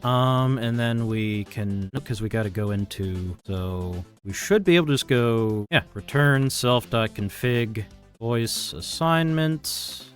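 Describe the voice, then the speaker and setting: A man's voice: mid-range voice, takes it slowly, faint music behind. A man chatting casually as he codes on a livestream, muttering to himself and grumbling with some swearing. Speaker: livestreaming programmer. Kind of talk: casual chat while live coding.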